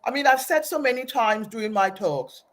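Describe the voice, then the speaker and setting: A woman's voice: on the high side, animated, moderate pace. A woman from Aruba, raised in Trinidad, telling her personal story as an interview guest in an earnest, reflective way and often restarting phrases.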